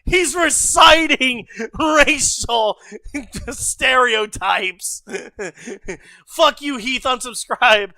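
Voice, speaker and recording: A man streaming, giving crude, casual reaction commentary, swearing constantly and breaking into loud laughter and shouts. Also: very high-pitched, very slow, no echo.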